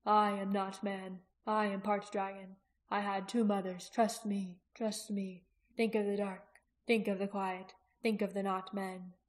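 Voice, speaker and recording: Woman voicing a calm, measured young man. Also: mid-range voice, close to an everyday voice. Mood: soothing. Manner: even, crooning, measured. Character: calm, steady young male dragon trainer, soothing.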